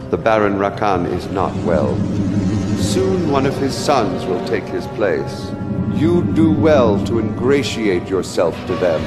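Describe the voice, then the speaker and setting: An actor playing a sly, haughty adviser to a villainous lord in a video game cutscene, speaking with deliberate, condescending menace. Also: male voice, fairly low pitch. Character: sly, condescending male mentat adviser, servile to his lord. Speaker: adviser briefing a new military commander. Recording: long reverb, music behind.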